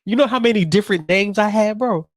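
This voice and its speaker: A man's voice: sits high, quick pace, no room sound, studio quality. A man from Nigeria in casual podcast chat, telling stories animatedly and laughing often. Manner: animated storyteller, laughs often, acts out voices. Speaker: podcast host.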